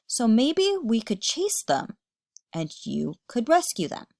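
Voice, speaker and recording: A woman's voice: fairly high pitch, huge pitch swings, takes it slowly, creaky, a little mellow, dry recording, clean studio sound, voice pushed up, full character voice. A woman voicing a huge, hearty, affectionate yeti father in a children's storybook. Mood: reasoning, hearty.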